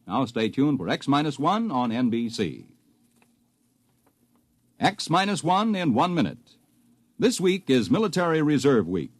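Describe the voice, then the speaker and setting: Very lively 1950s male radio announcer. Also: medium pitch, no echo. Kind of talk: 1950s radio announcing, scripted promotional reads.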